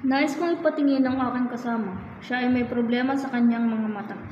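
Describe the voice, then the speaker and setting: A woman's voice: a little high, fairly even intonation, fairly quick, a little mellow, a little hiss or hum, close to an everyday voice. A woman playing a solemn, devoted figure in an amateur historical radio drama, with formal, declaimed delivery. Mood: earnest, urging. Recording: muffled, echoey reverberant hall, music behind.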